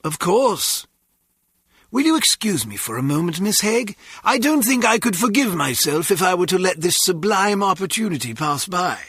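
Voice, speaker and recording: A man's voice: high-pitched, sing-song, moderate pace, a bit bright, breathy edge, no echo, full character voice. A man playing a haughty, sardonic English butler in a video game, with a British accent and dry, formal sarcasm. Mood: haughty dismissal. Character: snooty, sardonic male butler to an English baron. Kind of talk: scripted video game voice acting.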